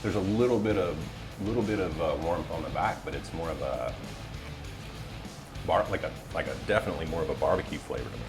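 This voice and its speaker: Very deep-voiced American man, wryly self-deprecating. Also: quick pace, over music. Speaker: interview guest, office voiceover talent. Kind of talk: casual interview chat.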